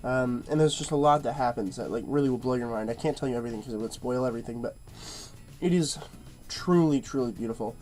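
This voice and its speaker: A man's voice: medium pitch, fast talker, a little mellow. A man with a stuffy, nasal-sounding nose giving a casual movie review, rambling and padding his speech with fillers and repeated words.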